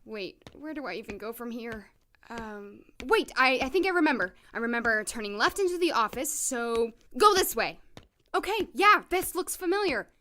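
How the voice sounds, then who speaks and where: A guarded woman doctor, played by an actress, speaks in a fairly high, bright voice that moves around a lot. She is wry, clipped and easily flustered, in an audio drama style. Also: lively intonation, moderate pace. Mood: flustered muttering. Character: guarded, prickly female doctor, awkwardly polite, easily flustered.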